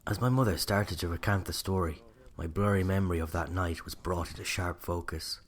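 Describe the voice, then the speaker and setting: A man's voice: low voice, brisk. A man with an Irish accent narrating a scripted first-person story.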